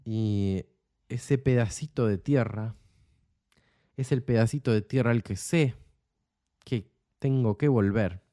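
A man's voice: fairly low pitch, mellow, no room sound, clean studio sound. A young man with an Argentine accent, hosting his own program and speaking in an earnest, impassioned monologue full of repeated emphatic phrases. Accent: Argentine Spanish. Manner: earnest, repetitive rhetorical emphasis. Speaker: program host.

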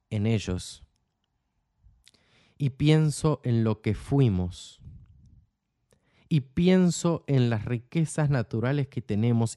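A man's voice: mid-range voice, mellow, no echo. Young argentine guy, passionate monologue, keeps repeating for emphasis, dry recording. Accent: Argentine Spanish. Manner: earnest, repetitive rhetorical emphasis. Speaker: program host. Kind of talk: impassioned monologue.

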